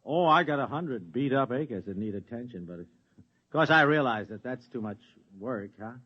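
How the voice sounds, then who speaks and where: Flustered husband with swooping intonation. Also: male voice, medium pitch, lively intonation, normal speed, slightly rough. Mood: grumbling, doubtful. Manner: hesitant, sputtering, grumbling. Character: flustered suburban husband, skeptical and easily worried. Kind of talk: 1950s radio drama acting. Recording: dull lo-fi old radio recording.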